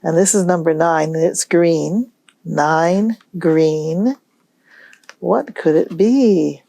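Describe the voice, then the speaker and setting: A woman hosting a storytime for young children, reading aloud in a gentle, musing way and pausing to pose questions. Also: fairly low pitch, vocal fry, no echo. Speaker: children's library storytime host.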